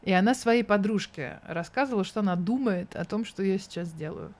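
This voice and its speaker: A woman's voice: medium pitch, fairly quick, darkish tone. A woman in her thirties speaking as an interview guest, candid and thoughtful with an ironic edge.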